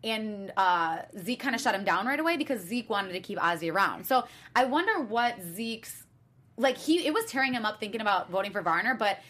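A woman's voice: medium pitch, animated, a little fast, a bit bright. A woman hosting a TV after-show, recapping and discussing in casual conversation. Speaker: host of a reality TV after-show. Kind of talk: casual panel chat and recap.

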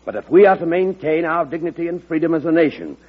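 An actor playing an indignant, hawkish cabinet secretary in old-time radio drama, formal and declamatory. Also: male voice, on the high side, lively intonation, fast, breathy edge. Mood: urgent, insistent. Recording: dull vintage radio recording.

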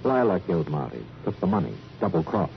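An actor playing a suave, arrogant gangster with a smooth, sardonic manner in a radio crime drama. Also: male voice, low-pitched, big pitch swings, quick pace, soft background music, heavily acted. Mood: cool, menacing. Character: suave, arrogant male gangster with fine tastes. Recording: muffled old radio recording, faint noise.

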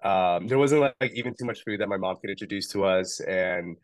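A man's voice: low voice, a little fast. A young man talking casually as an interview guest, rambling a little with lots of "um" and "like". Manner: relaxed, rambling, many fillers like "um" and "like". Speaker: podcast guest, founder of a food retailer.

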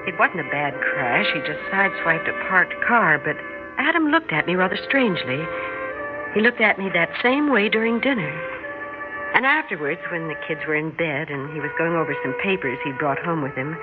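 An actress with an American accent playing a calm suburban housewife and mother who has hunches, in a radio drama. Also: deep voice, moderate pace. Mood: composed. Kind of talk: radio drama narration and dialogue. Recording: muffled radio recording with prominent music.

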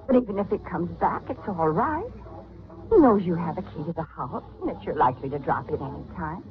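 A woman's voice: fairly low pitch, expressive, brisk, natural voice. A woman acting as a desperate schemer in a vintage radio thriller. She is urgent and coaxing, pleading in a dramatic period style. The sound is tinny, with faint noise. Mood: anxious, pleading. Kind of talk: 1940s radio mystery acting. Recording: tinny, hissy old radio drama recording.

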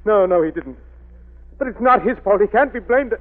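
An actor playing a middle-aged, tormented wealthy father with a dark secret, anguished and melodramatic in 1940s radio style. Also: sits high, animated, moderate pace. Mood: defeated, troubled. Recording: thin phone-like vintage radio recording.

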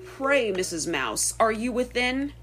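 A woman reading the part of a courteous gentleman frog in a storybook rhyme, formal and measured. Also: on the high side, sing-song, music in the background, voice pushed up. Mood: courteous, jovial. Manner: formal, measured verse delivery. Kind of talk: storybook verse character voice.

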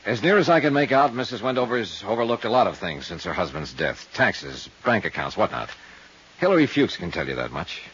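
An actor playing a laconic, world-weary investigator in 1950s radio drama, dry, clipped and matter-of-fact. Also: male voice, fairly low pitch, very animated, fairly quick, fairly bright tone, breathy edge, full character voice. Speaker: lead detective and narrator. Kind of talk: 1950s hard-boiled radio acting and first-person narration. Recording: dull old radio recording.

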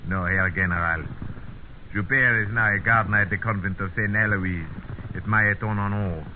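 An actor playing an officious, scheming Nazi captain with a German accent and clipped delivery in a 1950s radio drama. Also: male voice, lowish voice, steady tone, moderate pace, close to an everyday voice. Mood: earnest. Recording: very tinny, noisy 1950s radio recording.

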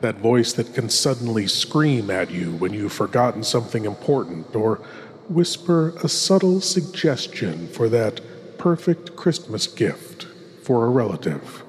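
A man playing a courtly, ghoulish horror host, with hushed, theatrical delivery and dramatic pauses. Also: mid-range voice, sing-song. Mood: eerie, courtly. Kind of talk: theatrical scripted horror hosting. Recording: long reverberant echo.

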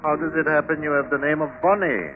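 An actor playing a probing, brisk magistrate who questions suspects in an old radio drama, insistent and clipped. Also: male voice, a little high, soft background music, natural voice. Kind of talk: 1950s radio drama acting. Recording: old radio recording, faint noise, narrow band.

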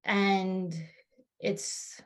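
A woman's voice: medium pitch, flat delivery, very slow. A woman in her thirties, a podcast guest, speaking thoughtfully in casual conversation with frequent restarts and fillers.

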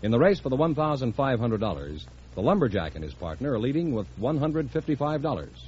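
A man announcing the scores on a quiz show in a brisk scripted style. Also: medium pitch, very animated, a little mellow. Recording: muffled old radio broadcast, audience noise.

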